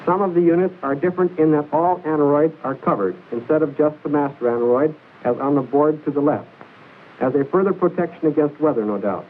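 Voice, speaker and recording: A man narrates an old military training film in a formal, measured, precise instructional style, reading from a script. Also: a little high, narrow, tinny audio. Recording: hissy band-limited 1940s film soundtrack.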